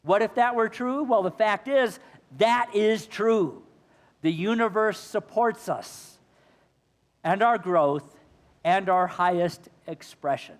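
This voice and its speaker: Male minister, high voice, a bit hoarse, earnest sermon in a long-reverb church. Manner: earnest, rhetorical questions to the congregation. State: slightly hoarse. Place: church sanctuary.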